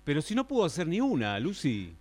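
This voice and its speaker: A man with an Argentine accent playing a forgetful, exasperated office boss in a radio play, speaking haltingly and repeating himself. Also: a little high, huge pitch swings, a little mellow, no room sound. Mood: disappointed, weary. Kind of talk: improvised radio play acting.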